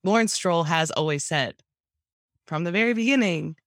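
A young woman co-hosting a casual chat podcast, playful and quick to laugh, sounding sick with a cold. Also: on the low side, expressive, no room sound, clean studio sound. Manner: playful, laughing often, announcer-like. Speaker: podcast co-host.

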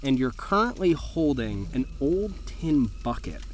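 A man's voice: mid-range voice, very animated, a little slow. A man narrating a tabletop horror-comedy as game master, descriptive and conversational. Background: faint music.

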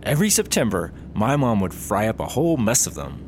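Excitable, eager young traveler, man playing, lively pitch, noisy plane-cabin background. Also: a little fast. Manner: excitable, pitch jumps high when excited. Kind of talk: comedy audio drama acting.

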